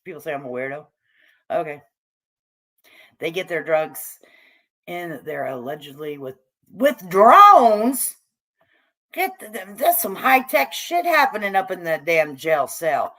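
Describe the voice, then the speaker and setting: A woman's voice: lowish voice, big pitch swings, measured pace, long pauses, bright, forceful tone. Salty middle-aged American woman chatting on livestream. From United States, raised among hippies. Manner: salty, slangy, irreverent, addresses viewers as baby and sweetheart. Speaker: livestream host reading and answering chat. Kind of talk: casual livestream chat, joking commentary.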